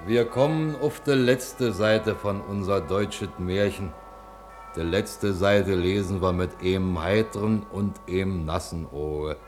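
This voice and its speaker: An actor with a Berlin accent, playing a genial, folksy storyteller narrating a 1950s radio play to the listeners. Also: male voice, low voice, slow, a little mellow, faint music behind. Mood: genial, wry. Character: genial folksy storyteller of a German fairy tale.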